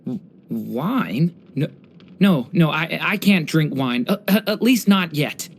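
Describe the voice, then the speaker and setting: Young dragon boy, video game character, earnest, soft-spoken, curious, courteous, hesitant. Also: mid-range voice, lively intonation, takes it slowly. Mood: flustered, wistful. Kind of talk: scripted game character voice lines.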